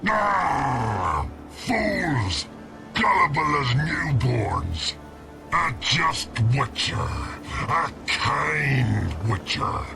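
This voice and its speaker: Bitter creature, gravelly, very slow, theatrical, accusing, music behind, video game. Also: male voice, fairly high pitch, very animated, full character voice. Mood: furious. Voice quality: gravelly, creaky. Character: bitter, vengeful male monster who distrusts all humans. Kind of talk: video game cutscene acting.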